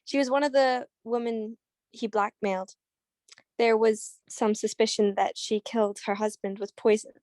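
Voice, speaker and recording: A woman acts out a cool, knowing dame in an old-time radio detective play. Her voice sits fairly high and is recorded very dry, and her wit is just as dry. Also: fairly even intonation, moderate pace, a little mellow, dry recording, natural voice. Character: cool, dry-witted female mystery woman. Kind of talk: 1940s radio mystery acting.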